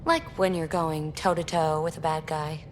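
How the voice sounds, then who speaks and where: A woman's voice: fairly even intonation, moderate pace, close to an everyday voice. A woman playing a casual, teasing companion with a wry manner in a video game. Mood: flirty, teasing. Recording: faint low rumble behind.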